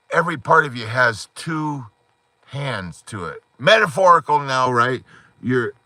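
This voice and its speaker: A man hosting a support group live stream, giving a fervent, rambling pep talk full of metaphors, his voice hoarse, from inside a parked car at night on a phone. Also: mid-range voice, sharp tone, no echo.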